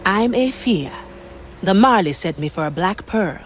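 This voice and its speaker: An actress playing a confident, plucky female pirate recruit in a video game, with a brisk, wry delivery. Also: mid-range voice, lively intonation, a little hiss or hum, natural voice.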